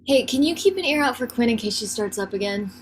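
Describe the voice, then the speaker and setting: A woman's voice: on the high side, expressive, fast, natural voice. A woman playing a frazzled, exasperated forty-year-old wife and mother in a naturalistic table read. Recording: clearly noisy online call audio.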